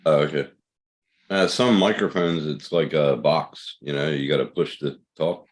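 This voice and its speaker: Deep-voiced man, joking and swearing. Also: steady tone, a little slow. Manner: laughs often, swears casually. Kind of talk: casual group chat.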